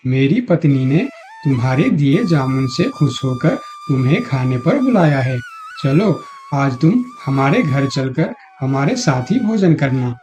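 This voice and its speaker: Meek, guilt-torn crocodile husband for a storybook cartoon, male actor; mellow, swooping delivery, earnest and pleading. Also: medium pitch, huge pitch swings, a little slow. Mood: friendly. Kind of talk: Hindi moral-story cartoon acting. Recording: dull lo-fi audio, music behind.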